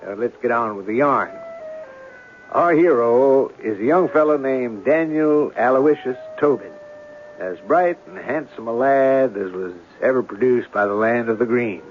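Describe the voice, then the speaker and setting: An actor playing a wry, folksy author who narrates a tale in a radio drama. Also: male voice, mid-range voice, expressive, measured pace, faint music behind, natural voice. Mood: wry, genial. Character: wry, folksy, unhurried storyteller, a famous author. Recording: tinny old radio broadcast.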